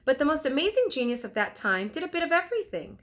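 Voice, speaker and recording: A bookish young boy explorer from a children's storybook, read by a woman. He sounds earnest and explanatory, stressing words with a very varied pitch. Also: very animated, fast, fairly bright tone. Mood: studious. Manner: emphatic, explanatory.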